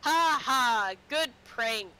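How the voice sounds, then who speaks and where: A woman's voice: high voice, animated, extremely slow, sharp tone, smooth, exaggerated voice. A woman voicing a stern, sarcastic police officer with a curt, mocking manner in a tabletop game. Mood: stern mockery. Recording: noisy game stream.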